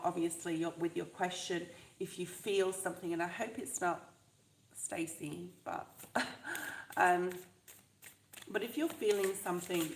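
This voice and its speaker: A woman with a stuffed-up, fluey voice, chatting warmly and reassuringly to viewers during a live tarot reading. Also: lowish voice, roomy sound, slight background noise, rough recording. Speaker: tarot reader.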